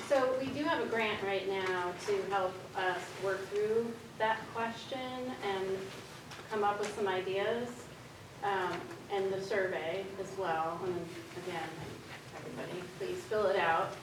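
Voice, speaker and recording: A woman running for local office, giving a measured, practical answer. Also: mid-range voice, background noise. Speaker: candidate. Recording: echoey hall, distant mic.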